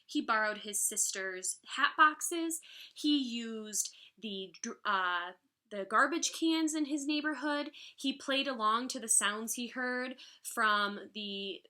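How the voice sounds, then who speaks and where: Woman, thirties, high bright voice, expressive picture book read-aloud, teacherly explanations. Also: slow. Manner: expressive, instructive. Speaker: music teacher. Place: living room at home, indoors. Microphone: device mic about arm's length away.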